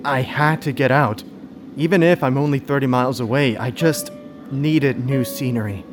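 An actor playing a withdrawn, nervous male survivor in a radio drama, hesitant and halting. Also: medium pitch, music in the background, natural voice. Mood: fragile, guarded. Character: withdrawn, wounded man, nervous abuse survivor.

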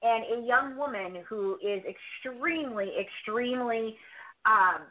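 A woman's voice: on the high side, a little slow. A woman podcast host giving reflective, conversational commentary as an interview guest, leaning on repeated words for emphasis. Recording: band-limited, muffled call audio.

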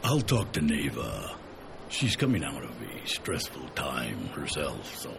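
An actor playing a gruff, kindly, folksy man in a science fiction audio drama, with a gravelly, reassuring delivery. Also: very deep voice, huge pitch swings, measured pace, noisy background, exaggerated voice. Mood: gentle, reassuring. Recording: music under the voice.

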